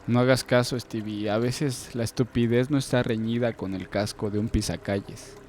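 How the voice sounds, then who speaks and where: A man playing a calm, wry gentleman reporter in an audiobook, with reverb and music around the voice. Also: mid-range voice, moderate pace, slight background noise, close to an everyday voice. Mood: wry, soothing.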